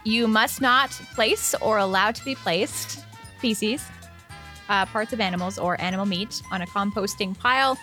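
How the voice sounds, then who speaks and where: A woman's voice: medium pitch, normal speed, pushed and bright, faint music behind. A Canadian woman co-hosting a casual chat and quiz, informal and playful in manner. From Calgary, Canada. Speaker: co-host.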